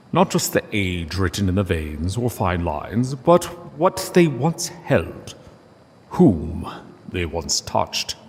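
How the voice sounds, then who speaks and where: A man reading a literary story as narrator, solemn and deliberate, with dramatic pauses. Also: low voice, darkish tone, in a large hall, slight background noise.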